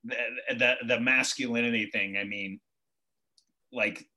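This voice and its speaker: An American man in his forties, an interview guest, telling personal stories in a casual, animated way and acting out bits of dialogue. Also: medium pitch, unhurried. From Boston, United States. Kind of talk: casual conversational storytelling.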